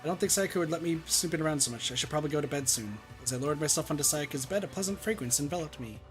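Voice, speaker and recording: Male game reader: quick delivery, fairly high voice, casual story read-aloud with faint music behind. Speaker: player reading a game aloud. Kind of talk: visual novel read-aloud with casual chat.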